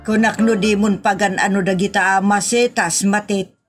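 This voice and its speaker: A woman playing a hardworking, plain-spoken tricycle driver in a comedy audio drama, matter-of-fact and unhurried. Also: medium pitch, little pitch movement, faint music behind, close to an everyday voice. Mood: reproving.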